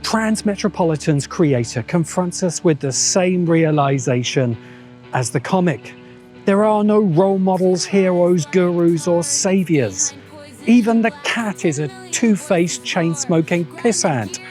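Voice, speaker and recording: A man narrating a scripted video essay in an emphatic, rhetorical way, with dramatic pauses. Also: high-pitched, lively intonation, a little mellow. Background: background music.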